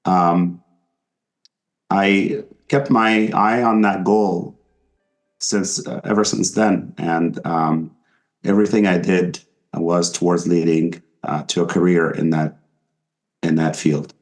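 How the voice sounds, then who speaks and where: A man's voice: sits low, steady tone, slow pace. A physician guest from Egypt, a man who speaks thoughtfully and hesitantly with many fillers. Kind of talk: podcast interview.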